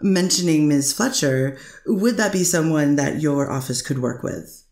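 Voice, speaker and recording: A woman's voice: low-pitched, a little slow, a little mellow, roomy sound. A woman reading the part of a defense attorney methodically questioning a witness in a courtroom transcript. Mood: measured. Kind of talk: readers theater courtroom reading.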